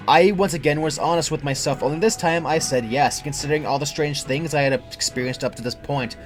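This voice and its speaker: A man's voice: fairly high pitch, brisk, soft background music. A young adult man reading a scripted first-person creepypasta as its narrator. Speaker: horror story narrator.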